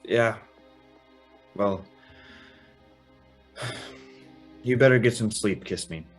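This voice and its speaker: A man's voice: lowish voice, extremely slow, soft background music. A man acting a protective, worried young man in a radio play, with an earnest manner. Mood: weary, resigned. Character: alarmed, protective young man urging his sweetheart.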